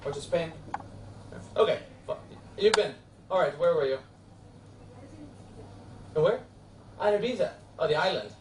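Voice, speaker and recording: A man's voice: fairly high pitch, expressive, far from the mic, background noise. New York man lecturing in echoey hall. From United States. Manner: friendly, halting, many uh fillers. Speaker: law professor. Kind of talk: classroom lecture.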